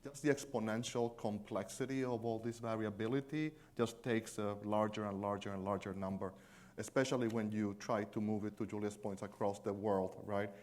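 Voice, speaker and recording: A man's voice: lowish voice, fairly even intonation, normal speed, darkish tone. A man from Chile speaking as an industry panelist in a conference panel discussion, conversational, often checking in with "right?" and slipping in dry jokes. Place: conference stage.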